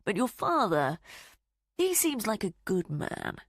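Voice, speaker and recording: Mocking, unhurried villain man with lively swings in pitch , voiced by a woman narrator. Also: dry recording, studio quality, full character voice. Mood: taunting. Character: menacing smooth male villain, calm and taunting.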